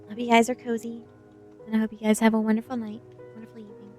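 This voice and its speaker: A woman's voice: fairly high pitch, level tone, quick pace, darkish tone, faint music behind. A woman streamer who does ASMR book readings, speaking to her online audience. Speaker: streamer reading a novel aloud. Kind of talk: ASMR book reading, dramatic first-person narration; casual chat to stream viewers.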